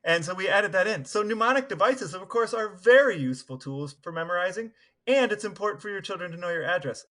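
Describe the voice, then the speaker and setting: A man co-hosts a podcast in casual banter. His voice is fairly high and bright, with lively swings in pitch and a dry, playful wit. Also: quick pace. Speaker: podcast co-host.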